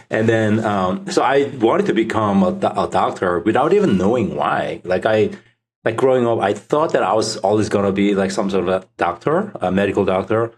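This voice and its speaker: Low-voiced Korean-accented man in conversation. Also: animated, normal speed, breathy edge. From South Korea. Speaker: podcast guest, startup founder. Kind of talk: casual interview answers.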